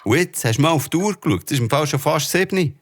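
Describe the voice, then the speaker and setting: A man's voice: a little high, lively intonation, fast. An actor playing a kind but worried father, speaking earnestly with a Swiss German accent. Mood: stern. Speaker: father character. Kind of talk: children's audio drama acting.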